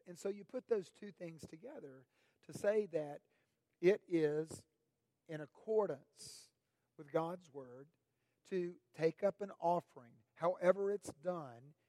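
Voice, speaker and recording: A middle-aged man preaching a sermon as pastor, his voice raspy this morning, speaking in a measured, deliberate way and lifting his voice on questions. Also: on the high side, warm tone, no room sound, rough recording.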